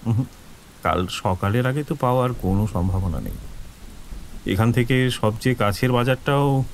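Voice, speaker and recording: Male stranded driver, played by an actor: cautious, practical, medium-pitched, over soft storm ambience. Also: lively intonation, normal speed, a little hiss or hum, lightly acted. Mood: wary, practical. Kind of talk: audio drama dialogue. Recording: rain and thunder ambience behind voice. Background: rain, thunderstorm.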